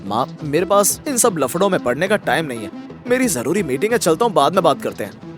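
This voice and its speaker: A man plays a self-absorbed young husband in a story melodrama. His voice is fairly high and emphatic, with music behind it. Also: sing-song, a little fast. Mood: evasive, impatient. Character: selfish young husband, evasive, later remorseful and melodramatic. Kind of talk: audio story melodrama acting.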